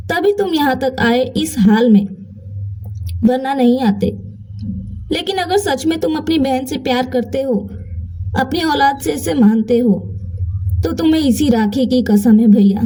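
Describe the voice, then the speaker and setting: Woman doing a heartbroken little sister from a bedtime story, high mellow voice, stubborn, begging and scolding lovingly. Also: husky, heavily acted, poor audio. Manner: emotional pleading, scolding out of love. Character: devoted grieving young sister, pleading and stubborn.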